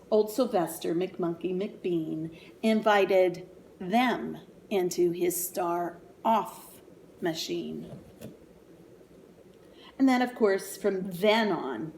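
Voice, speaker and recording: A woman reading a picture book aloud to young children in an animated, sing-song way, pausing for asides and questions. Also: fairly low pitch, slight background noise.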